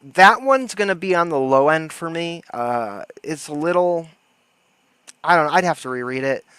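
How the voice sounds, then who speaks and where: A man with a clean, smooth voice hosts a livestream, talking casually and full of slang. He jokes around, and the sound is dry and close. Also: fairly high pitch, lively intonation, moderate pace, fairly bright tone, no room sound. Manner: slangy, joking. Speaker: livestream host.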